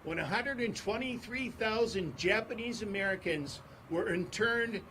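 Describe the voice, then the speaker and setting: High-voiced man giving fiery speech. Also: measured pace, slight background noise, low-quality recording. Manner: forceful, repetitive rally cadence. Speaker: advocacy group speaker. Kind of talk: impassioned press conference speech. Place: outdoors on court steps.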